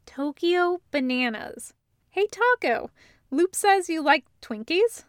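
A woman's voice: very high voice, expressive, takes it slowly, dry recording, clean studio sound, voice pushed up, full character voice. A woman reading the part of a cheerful, eager man who talks casually in rambling, hesitant asides. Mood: excited.

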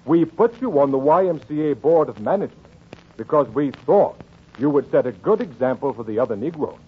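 A man's voice: on the high side, lively intonation, fairly quick, husky. A man playing an indignant, intolerant civic board member, blunt and scolding, in 1940s radio drama style. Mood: indignant, threatening. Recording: tinny, narrow 1940s radio recording.

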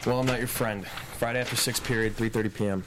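A man's voice: mid-range voice, steady tone, fast, background noise, lightly acted. A man at a table read playing a blunt, troubled teenage boy who captains a surf team, curt and slangy. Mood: curt, reluctant.

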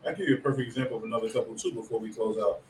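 A man's voice: mid-range voice, very fast, a little mellow, distant mic, a little hiss or hum. Male podcast co-host, laid-back slang, sits back from the mic, echoey room. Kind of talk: casual chat. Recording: roomy, off-mic.

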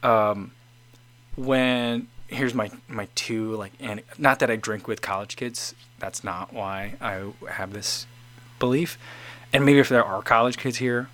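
A man's voice: medium pitch, slow pace, a bit bright. A man in his forties casually chatting as host, reading out viewer comments and rambling with many restarts. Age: 40s.

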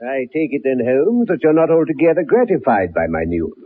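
Earnest British gentleman, animated, husky voice. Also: medium pitch, brisk. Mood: mildly indignant. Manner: polite, formal, earnest. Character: earnest, genial, respectable British gentleman, mildly indignant. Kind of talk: radio drama acting. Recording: dull lo-fi old radio recording.

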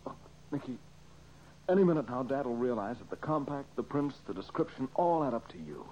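An actor playing an earnest, urgent amateur detective in an old radio mystery, speaking with pressing concern. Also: male voice, medium pitch, animated, fairly quick, darkish tone, lightly acted. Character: earnest, urgent male amateur detective protecting his friend. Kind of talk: 1940s radio mystery acting. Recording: muffled old radio, faint noise.

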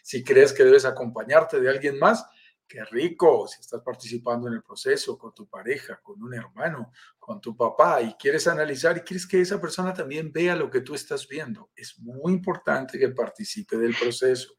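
A man's voice: mid-range voice, moderate pace. Upbeat Colombian man hosting a live stream. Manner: enthusiastic, welcoming, persuasive. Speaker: live stream host, sales director.

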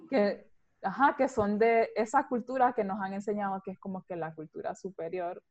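A woman's voice: mid-range voice, highs cut off. A young woman with a Honduran Spanish accent, chatting casually and arguing her point emphatically. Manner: emphatic, opinionated, animated, voseo with lots of "o sea". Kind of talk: casual conversation, podcast-like.

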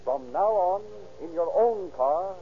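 A man announcing and narrating from a script in a formal, measured 1930s radio style. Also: sits high, animated, slight background noise. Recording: dull 1930s radio recording.

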